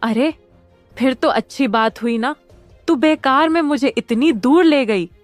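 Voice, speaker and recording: An actress as a high-voiced practical young friend. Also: female voice, measured pace, no room sound, faint music behind. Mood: urging. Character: practical, level-headed young woman friend. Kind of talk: dramatised cartoon story dialogue.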